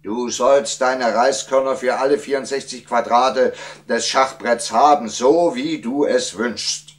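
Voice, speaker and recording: A man's voice: fairly low pitch, moderate pace, voice pushed up, close to an everyday voice. A man voicing a proud, impatient king in a storybook tale, imperious and emphatic. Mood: annoyed, scornful. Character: proud, impatient Indian king, easily angered. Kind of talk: storybook character acting.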